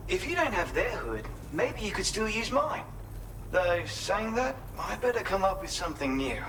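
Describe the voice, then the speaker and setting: Casting: eccentric, whimsical male inventor for a game cutscene, higher-than-average voice, clearly noisy capture. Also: a bit bright, distant mic, heavily acted. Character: eccentric, otter-loving male inventor, cheerful and earnest. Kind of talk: scripted video game cutscene acting.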